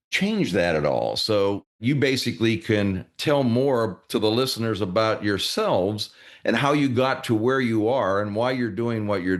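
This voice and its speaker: A man hosting a podcast, introducing his guests in an orderly, conversational way. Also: lowish voice. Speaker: podcast host. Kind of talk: scripted-sounding podcast introduction.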